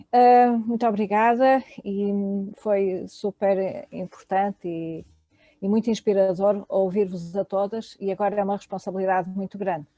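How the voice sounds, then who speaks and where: Woman, European Portuguese accent, academic talk, mellow tone, dry sound, many hesitations. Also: medium pitch, no echo. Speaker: panel speaker. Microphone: video call.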